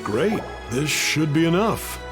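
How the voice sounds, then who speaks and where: A man's voice: fairly high pitch, big pitch swings, slow pace, over music. A man playing a friendly, matter-of-fact townsman and cook in a video game. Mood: helpful.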